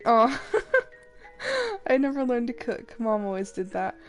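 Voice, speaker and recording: A woman's voice: on the high side, sing-song, slow pace. A young adult woman streaming a game while congested and a little sick, chatting casually and apologizing for her voice. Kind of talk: casual gameplay commentary. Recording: game music behind voice.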